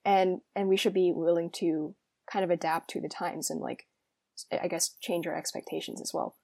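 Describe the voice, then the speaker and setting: Young female student co-host, low-ish warm voice, reflective and hedging. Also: steady tone, normal speed. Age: young adult. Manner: thoughtful, hedging with "like" and "I think". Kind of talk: casual interview conversation.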